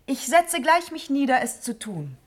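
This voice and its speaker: A woman's voice: high-pitched, very animated, measured pace, slight background noise. A woman playing a young noblewoman in a classical verse radio drama, resolute, urgent and tender, with formal declamatory diction. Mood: resolute, scheming.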